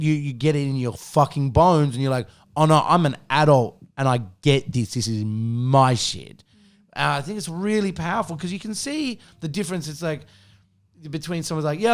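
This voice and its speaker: A man's voice: fairly high pitch, a little mellow. A man, hosting a casual podcast chat, rambling and swearing freely with many restarts. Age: 30s. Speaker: podcast host.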